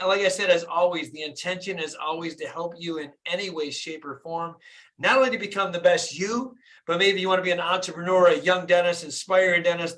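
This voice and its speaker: A man in his fifties from Michigan, a dentist hosting a live motivational call in an energetic, hyped-up way, often asking his listeners "right?". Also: high voice. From Flint, Michigan, USA. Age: 50s. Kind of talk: motivational live-stream talk.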